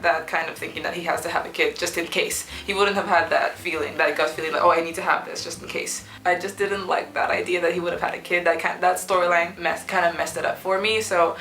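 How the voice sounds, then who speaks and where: A young woman, a student, chatting casually in a book review vlog, rambling with many fillers and restarts, with a sore throat that makes her clear it now and then. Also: lowish voice, a bit bright, roomy sound. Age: young adult. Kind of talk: casual chat. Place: small student apartment room.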